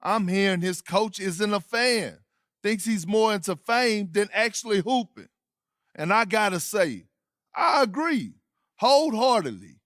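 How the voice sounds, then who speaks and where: An actor playing an opinionated, dismissive American sports show commentator, emphatic and punchy in a video game scene. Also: male voice, high voice, expressive, measured pace, fairly bright tone, no echo, clean studio sound. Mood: scornful, emphatic. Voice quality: emphatic, declarative.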